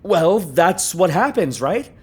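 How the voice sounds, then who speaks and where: Audio drama: fretful, indignant man acted by a woman, raspy, animated pitch, naturalistic. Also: takes it slowly, exaggerated voice. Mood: panicked. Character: anxious, aggrieved man horrified at being pregnant.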